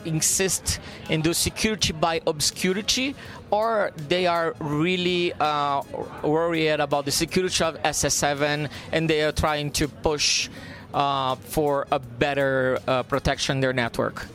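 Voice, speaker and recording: Brief: man hosting a podcast, slightly high pitch, direct questioner, soft music in the back. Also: fairly even intonation, slow pace. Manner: plain, questioning, brief affirmations. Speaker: podcast host. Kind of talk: podcast interview. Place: conference event venue.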